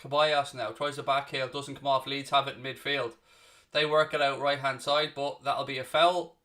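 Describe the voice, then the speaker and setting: A man's voice: mid-range voice, flat delivery, moderate pace, pushed and bright. A young man with a Dublin Irish accent hosting a live football watchalong, mixing play-by-play calls with blunt, chatty answers to viewers. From Ireland. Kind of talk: casual live match commentary and chat with viewers.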